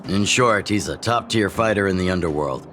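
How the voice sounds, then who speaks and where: An actor playing a hearty, teasing middle-aged chief and mentor in a video game. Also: male voice, low voice, normal speed, a bit bright. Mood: genial. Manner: jovial, teasing. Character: hearty older male underworld chief and fighting mentor. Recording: faint music behind.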